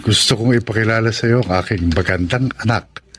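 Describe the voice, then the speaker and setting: A man's voice: fairly low pitch, a little fast, lightly acted. A man playing a devoted, easygoing middle-aged suitor in a radio drama, earnest and romantic. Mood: tender. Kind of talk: Tagalog radio drama acting. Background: prominent music.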